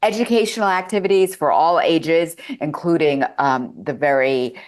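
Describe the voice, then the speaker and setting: A woman with a New Jersey accent presenting a destination on a travel panel. She is upbeat and chatty, with some fillers. Her voice sits a little low. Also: normal speed. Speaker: tourism representative.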